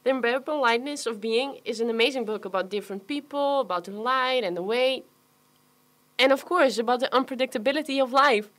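A woman acting an expressive, wary and outspoken young woman in radio play dialogue. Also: fairly high pitch, brisk, close to an everyday voice. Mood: wry.

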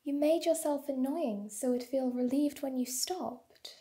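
Supportive, observant young sidekick played by a woman for sci-fi audio play, high and mellow, gently probing. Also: measured pace. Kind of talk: fan audio drama acting.

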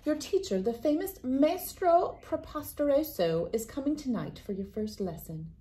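A woman voicing a proud, bossy, energetic aunt from a children's storybook, with an emphatic way of talking. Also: sits high, moderate pace, pitched above the performer's own voice. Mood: brisk, insistent.

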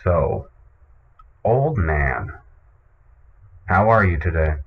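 A man hosting a comedic podcast in a casual, deadpan and hesitant way, on a muffled recording. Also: sits low, extremely slow, creaky. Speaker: podcast host. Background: music.

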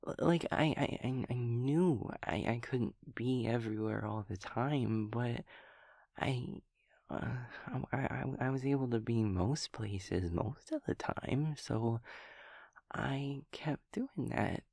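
A man's voice: mid-range voice, slow pace, vocal fry, darkish tone, no room sound, clean studio sound. A young man acting a sweet, scatterbrained, childlike empathy daemon in an intimate audio roleplay, stammering and repeating words. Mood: hesitant, earnest.

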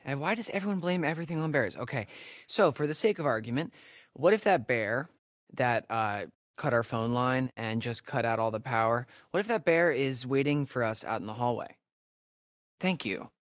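A man's voice: mid-range voice, lively intonation, moderate pace, no echo, studio quality, lightly acted. A young man with an American accent playing a sarcastic, nervy camp counselor in a video game, speaking in a hesitant, stammering way. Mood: sardonic. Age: young adult. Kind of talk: naturalistic video game acting.

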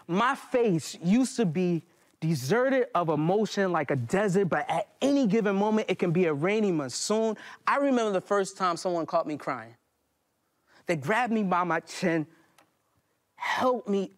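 A man in his thirties with an American accent, performing a poetry monologue with dramatic, deliberate phrasing and pauses. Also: sits high. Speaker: spoken-word poet and stage performer. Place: indoor hall stage before small audience.

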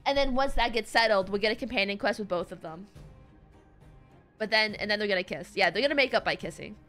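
A woman game streamer giving casual, rambling live commentary in an excitable, giggly way, on a close mic. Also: medium pitch, fast, soft background music.

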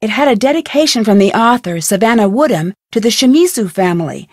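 A woman's voice: on the high side, lively intonation, a little fast, dry recording, studio quality. A woman voicing a curious, polite young amateur detective in a video game, with a friendly, inquisitive delivery. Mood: intrigued. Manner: inquisitive, friendly, clearly articulated questioning. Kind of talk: video game dialogue, single scripted lines.

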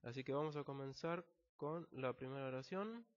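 A man's voice: mid-range voice, normal speed. Argentine man teaching English, dull audio. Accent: Argentine Spanish. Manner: patient, explains word by word with fillers. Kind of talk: screen-recorded language lesson. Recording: slightly dull lo-fi recording.

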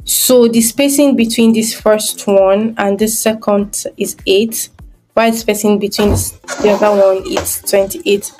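Woman tutor walking thru her design work, unhurried, mid pitch, thinking out loud. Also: steady tone, faint music behind, rough recording. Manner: thinking aloud step by step while working. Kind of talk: screen-recorded software tutorial.

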